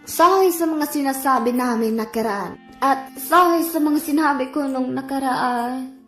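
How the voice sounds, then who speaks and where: A woman's voice: high voice, unhurried, soft background music. A woman voicing a sweet, sensible animatronic friend in a cartoon fan dub. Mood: sincere.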